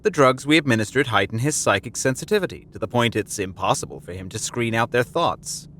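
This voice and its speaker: Cold, clinical doctor with a lively pitch, acted. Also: male voice, fairly quick, a little hiss or hum, natural voice. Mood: clinical, detached. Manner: detached, matter-of-fact. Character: cold clinical male doctor, calm torturer. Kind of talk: audio drama acting.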